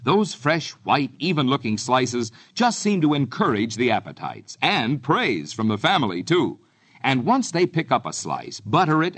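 Lively American male vintage radio pitchman. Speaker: commercial announcer. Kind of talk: 1950s radio advertising pitch.

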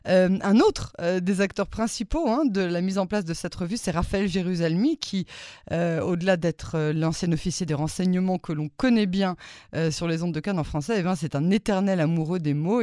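A woman hosting a radio interview, speaking with a slightly halting, hesitant phrasing. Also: on the low side, darkish tone, no echo, clean studio sound. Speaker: radio host.

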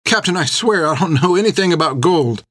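A meek, stammering young woman in disguise voiced by a man pleads in a raised, shaky pitch. The sound is close and dry. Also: moderate pace, a bit bright, no room sound. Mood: frightened. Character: frightened young woman disguised as boy sailor.

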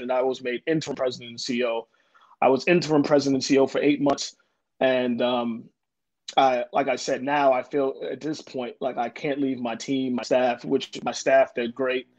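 Interview guest: New man, earnest, halting with ums, muffled lo-fi sound. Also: mid-range voice, darkish tone. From Jamaica, Queens, New York. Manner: earnest, lots of ums and restarts. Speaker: development corporation president, guest. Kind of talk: interview answers. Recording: dull lo-fi audio.

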